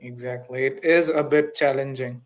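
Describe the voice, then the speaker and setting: Pakistani-accented male teacher, polite video-call chat, middle pitch, halting fillers. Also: muffled recording. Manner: polite, steady, frequent "uh" fillers. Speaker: English teacher, channel host. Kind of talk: casual video-call conversation. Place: school, indoors.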